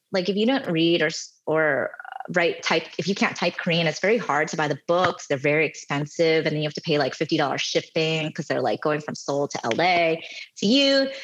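A woman's voice: lowish voice, a bit bright, lo-fi sound. A woman in her thirties, a podcast guest, telling stories in a chatty, self-deprecating way, full of "like" and "you know". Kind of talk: casual conversational storytelling.

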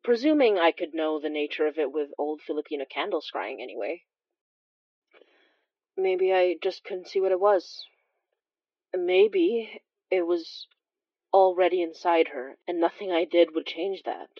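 A woman playing a wry, observant immigrant healer who narrates a horror audio drama in a confiding, measured way with dramatic pauses. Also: lowish voice, lively intonation, no room sound, lo-fi sound. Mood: uneasy, brooding.

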